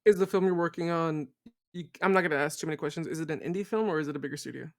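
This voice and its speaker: A man's voice: a little high, rapid-fire. A man co-hosting a casual chat, playful and chatty.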